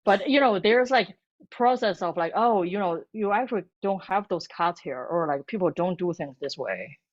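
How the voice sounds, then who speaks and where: A woman whose first language is Chinese, a cookbook author talking about her work in a halting way with many restarts. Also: on the low side, expressive, muffled recording. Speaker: cookbook author and food blogger. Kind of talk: bookstore author talk.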